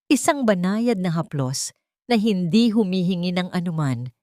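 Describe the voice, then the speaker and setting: Low-pitched woman narrating a drama story. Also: darkish tone, no room sound, studio quality. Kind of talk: scripted dramatic audiobook-style narration.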